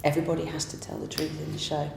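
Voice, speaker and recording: A woman's voice: sits low, monotone, racing, vocal fry, crowd noise. Woman, thoughtful interview answers, roomy echo. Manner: thoughtful, restarts and repeats words. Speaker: pantomime writer and director. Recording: echoey room with faint noise.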